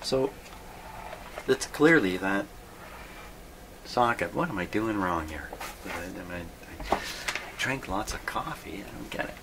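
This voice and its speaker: Slow, gravelly man muttering over noise. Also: lowish voice, animated. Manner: halting, muttering to himself, puzzled and self-deprecating. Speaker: host of a radio repair video. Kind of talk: unscripted workbench troubleshooting, thinking aloud. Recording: clearly noisy hobby video recording.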